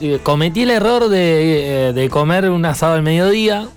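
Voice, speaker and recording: A man's voice: a little high, lively intonation, moderate pace, smooth. A man with an Argentine Rioplatense accent, chatting casually as co-host of a live radio show. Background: faint music.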